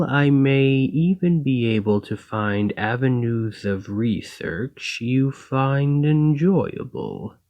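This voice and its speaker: Man playing a very slow-spoken, coldly logical golem. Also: mid-range voice, a little mellow, heavily acted. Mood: calmly menacing. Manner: formal, measured, detached phrasing. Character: escaped male golem, coldly logical, polite, self-serving captor. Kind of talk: ASMR roleplay acting.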